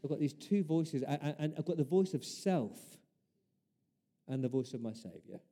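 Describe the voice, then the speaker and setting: A man's voice: a little high, lively intonation, normal speed, dark tone. A man preaching a sermon as pastor, earnest, building his points through repeated rhetorical questions.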